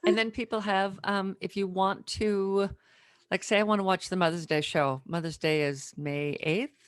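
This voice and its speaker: A woman's voice: medium pitch, fairly even intonation, normal speed, smooth, dry recording. A woman hosting a casual interview, speaking plainly and clarifying details for listeners. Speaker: podcast host, interviewer.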